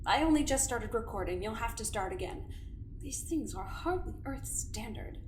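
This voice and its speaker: An actress playing a calm female doctor who questions a subject, coaxing and quietly pressing. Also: a little high, normal speed, reverberant, slight background noise, close to an everyday voice, poor audio. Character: smooth, probing female doctor interrogator. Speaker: doctor leading an investigation. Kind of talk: audio drama acting.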